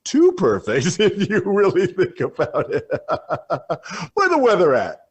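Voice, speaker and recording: A man playing a manic, vapid news anchor with uneasy cheer and a husky voice in a parody bulletin. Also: high-pitched, wildly swooping pitch, slow, full character voice. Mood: unhinged cheer. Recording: faint music bed behind voice.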